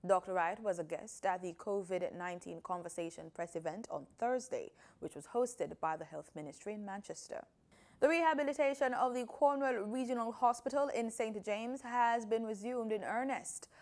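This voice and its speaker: A woman's voice: mid-range voice, moderate pace, a little mellow. A woman presenting scripted news as a news reader.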